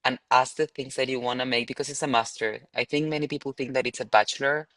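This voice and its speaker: A man with a Chilean Spanish accent speaks in a casual, rambling webinar style, leaning often on "like". His pitch sits in the middle and the sound is dry, with little room around it. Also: steady tone, quick pace, a bit bright, no echo. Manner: rambling, frequent "like". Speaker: postgraduate alumnus.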